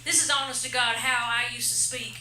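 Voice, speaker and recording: High-voiced Southern woman doing stand-up. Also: steady tone, brisk, sharp tone. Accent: Texas Southern twang. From Texas, United States. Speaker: stand-up comedian. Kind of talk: live stand-up comedy. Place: comedy club stage, on a microphone. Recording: noisy, echoey live club recording.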